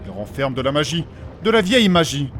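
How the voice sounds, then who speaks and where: A man's voice: on the high side, sing-song, fast talker, a bit bright, slight background noise. A man playing a learned, formal and fussy scholar of magic in a comic fantasy audio drama, precise and prone to repeating himself. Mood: earnest, explanatory. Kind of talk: French fantasy comedy audio fiction acting.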